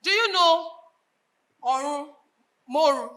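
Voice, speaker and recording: An earnest, indignant Nigerian woman in a stage drama. Her voice is high and sharp, projected and declamatory, pressing hard on her points. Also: expressive, slow pace, heavily acted. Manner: emphatic, declamatory. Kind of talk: projected stage play acting.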